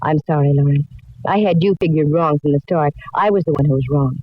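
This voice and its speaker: A woman's voice: low-pitched, fast talker. A woman playing a shrewd, wry, self-assured private detective in a 1940s radio drama, laying things out with wisecracks. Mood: confident, revealing. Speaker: lead actress and narrator. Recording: dull vintage radio recording.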